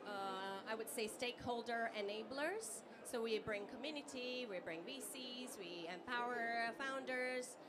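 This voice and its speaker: A woman's voice: a little high, unhurried, hardly any pauses, a crowd in the background. A woman from Japan, a startup founder, speaking as a panelist and searching for words with many fillers. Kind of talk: conference panel remarks.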